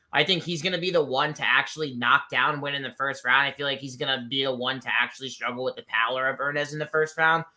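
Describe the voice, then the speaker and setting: A man's voice: medium pitch, sharp tone. A man giving casual fight picks, blunt and rambling, leaning on "I feel like". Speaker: betting analyst guest.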